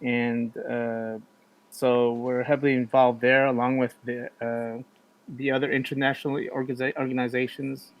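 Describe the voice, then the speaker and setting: A man's voice: mid-range voice, flat delivery, normal speed, dry recording, rough recording. Man chatting loosely, full of fillers. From United States. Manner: rambling, many fillers and restarts. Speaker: podcast co-host. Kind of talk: casual chat.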